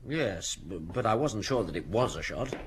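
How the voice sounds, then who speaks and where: A flustered, put-upon householder in an audio drama , acted by a man. His fairly low voice rises and falls as he protests anxiously, over faint background noise. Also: expressive, normal speed, natural voice.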